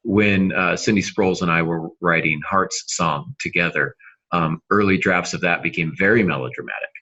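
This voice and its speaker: Deep-voiced man with a bright, forceful edge. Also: moderate pace, highs cut off. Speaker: podcast co-host and novelist. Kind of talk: casual podcast discussion.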